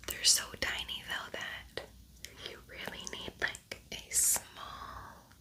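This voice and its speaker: A woman hosting an ASMR makeup tutorial, whispering softly in a casual, chatty, unhurried way.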